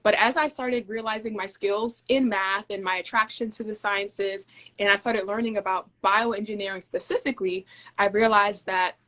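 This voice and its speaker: A young woman from Denmark, a guest in a relaxed interview, talks at an even middle pitch. Her delivery is measured and friendly as she walks through technical ideas step by step. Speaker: guest, engineer. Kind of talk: casual interview conversation.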